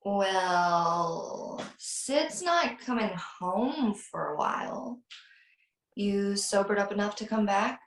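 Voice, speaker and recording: A woman playing a flirtatious, guarded housewife in a slasher drama, with a slow, teasing manner. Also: mid-range voice, lively intonation, slight background noise. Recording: roomy, echoey video call.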